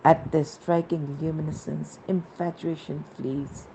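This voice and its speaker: Low, creaky-voiced woman reading devotional text. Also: flat delivery, dark tone, slight background noise, poor audio. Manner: reverent, measured, emphatic phrasing. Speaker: narrator reading a devotional book. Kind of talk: devotional scripted narration.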